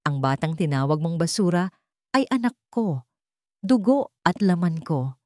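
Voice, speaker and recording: A woman's voice: fairly low pitch, big pitch swings, unhurried, no echo, clean studio sound. Woman playing an angry, commanding rich businessman. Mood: furious. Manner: forceful, accusing, commanding. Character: angry wealthy male businessman, protective father.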